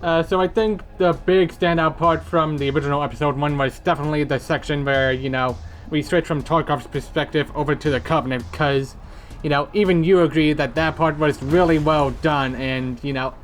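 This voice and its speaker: A young adult man, the creator of an audio drama, talking casually and relaxed, rambling and saying "you know" a lot. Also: fairly high pitch, brisk. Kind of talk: casual commentary chat.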